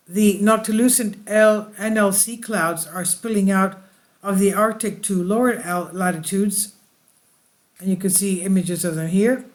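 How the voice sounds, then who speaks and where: A host reading space weather news aloud in a halting way, stumbling over some words. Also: mid-range voice. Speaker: video channel host.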